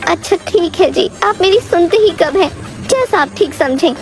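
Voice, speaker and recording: A woman's voice: expressive, moderate pace, music in the background. A woman voicing a worried, suspicious wife who complains anxiously, in an acted children's story. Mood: worried, complaining. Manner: complaining, anxious, high-voiced.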